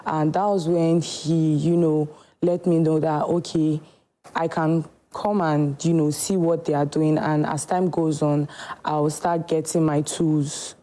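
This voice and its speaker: Woman from Nigeria, low pitch, mellow tone, earnest, unhurried, interview guest. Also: little pitch movement, poor audio. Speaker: shoemaker guest. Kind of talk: TV studio interview.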